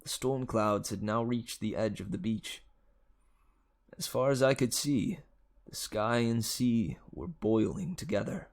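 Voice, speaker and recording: A man narrates a storybook aloud. His voice has a noticeable creak, and he takes his time over the sentences at an unhurried pace. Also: lowish voice, animated. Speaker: audiobook reader.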